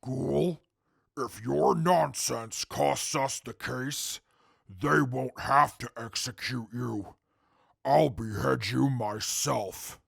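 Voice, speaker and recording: A man playing a stern, blunt, menacing super mutant soldier with a gravelly voice in an audio drama. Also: medium pitch, no room sound, clean studio sound, heavily acted. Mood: threatening. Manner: deliberate, blunt.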